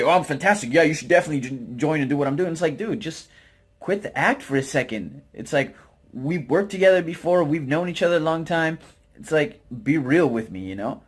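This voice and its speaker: A man's voice: fairly high pitch, slightly rough. A man from Utah with an American accent talks casually and candidly as a vlogger, in a hesitant, self-deprecating, rambling way with informal slang. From Utah, United States. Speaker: vlogger, network marketer. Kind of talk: casual, candid talk to camera.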